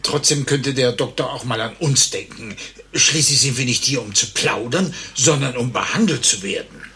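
An impatient grumbler with an extremely raspy, forceful voice. He complains in a medium pitch, in the style of a satirical radio play. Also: moderate pace. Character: grumbling, impatient male waiting-room patient.

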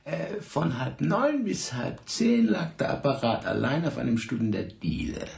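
Sardonic, swooping police investigator. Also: male voice, mid-range voice, huge pitch swings, unhurried, vocal fry, a little mellow, echoey room, heavily acted. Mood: interrogating. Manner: condescending, theatrical, heaving between scorn and mock courtesy. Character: sardonic, bullying middle-aged French police investigator. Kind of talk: German radio drama acting.

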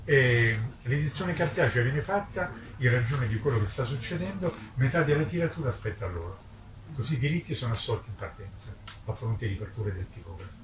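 A man's voice: medium pitch, expressive, fairly quick. An older man, an independent publisher, speaks off the cuff at a book presentation in an emphatic, polemical manner, repeating words for weight. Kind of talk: impromptu speech at a literary book presentation. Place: live book presentation at a festival event. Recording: distant mic, echoey, noisy ambience.

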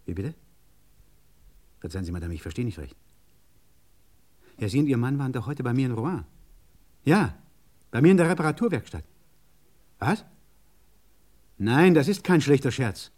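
An actor playing an urgent, insistent French car mechanic on the phone in a 1950s German radio play. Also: male voice, medium pitch, animated, moderate pace, long pauses, darkish tone, close to an everyday voice. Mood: puzzled, uneasy. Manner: urgent, insistent, muttering to himself in asides.

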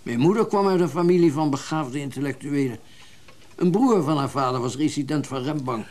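Actor as a genteel, reflective wealthy collector. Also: male voice, a little high, a little mellow, natural voice. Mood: reminiscing. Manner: genteel, reflective, hesitant pauses, old-fashioned turns of phrase. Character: wealthy, well-bred male collector of mechanical music. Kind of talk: naturalistic radio drama dialogue.

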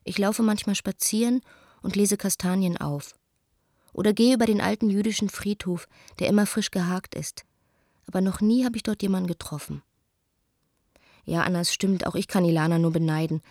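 Woman acting a proud lonely young actress, confiding letter monologue for radio drama, mid pitch, super dry sound. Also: fairly even intonation, moderate pace, a little mellow, dry recording, lightly acted. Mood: wry, pensive. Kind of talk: radio play, letter read as intimate monologue.

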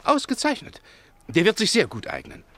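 An actor playing a pompous, capricious Roman emperor in a German radio play, theatrical and commanding. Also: male voice, high-pitched, huge pitch swings, moderate pace, fairly bright tone, no echo, full character voice. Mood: brisk, amused. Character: capricious, grandiose Roman emperor, swinging from rage to flattery.

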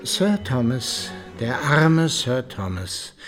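A sympathetic male storyteller in a period radio play. He speaks solemnly at a medium pitch, with light music in the background. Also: very animated, slow pace. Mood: solemn, pitying. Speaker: narrator. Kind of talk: literary audio drama narration.

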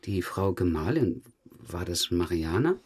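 Low-voiced, dogged male police detective. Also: sing-song, measured pace, darkish tone, a little hiss or hum. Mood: controlled, pointed. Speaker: police investigator. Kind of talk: German crime audio drama, interrogation dialogue.

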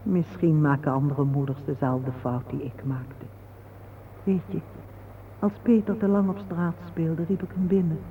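A man acting a sorrowful, patient parent in a radio drama, speaking in a solemn, poetic, measured way. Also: fairly high pitch, steady tone. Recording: narrow phone-like old radio recording, faint hiss.

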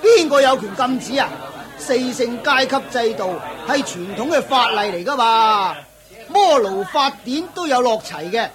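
An actor playing a brash, callous slave auctioneer in a radio play, pushy and mocking. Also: male voice, very high voice, lively intonation, a little slow. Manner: pushy, mocking, sells loudly. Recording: market crowd sound effects behind. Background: crowd murmur.

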